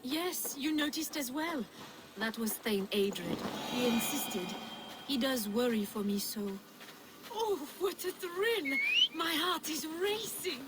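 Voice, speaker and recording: A woman's voice: sits high, very animated, takes it slowly, exaggerated voice. An actress playing a playful, dramatic young Saxon noblewoman with a coy, theatrical manner in a video game. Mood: excited. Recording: clear ambient noise.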